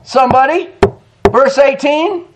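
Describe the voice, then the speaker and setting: A middle-aged man preaching a sermon in a church, emphatic, with quick chuckling asides. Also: very high voice, very noisy. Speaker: pastor. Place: church sanctuary.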